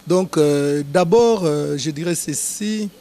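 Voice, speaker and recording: A man from Congo, a pastor answering interview questions in a measured, preacherly way, with filler pauses. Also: a little high, mellow. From Democratic Republic of the Congo.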